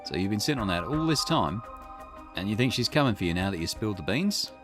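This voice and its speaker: A man acts out a wry game detective in a low voice that swings expressively. He is dry and skeptical, a plain talker who likes to question. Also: big pitch swings, fast, over music. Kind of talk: character voice reading visual novel dialogue.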